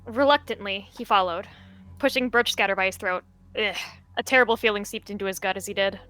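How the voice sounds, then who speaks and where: A young woman narrating a cat roleplay story in a gentle, reflective way. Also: medium pitch, a little fast, soft background music.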